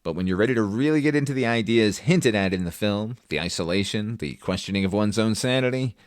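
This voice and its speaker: A man's voice: lowish voice, lively intonation, fairly quick, no echo, studio quality. A man hosting a film review podcast, talking conversationally with wry, profane, opinionated asides.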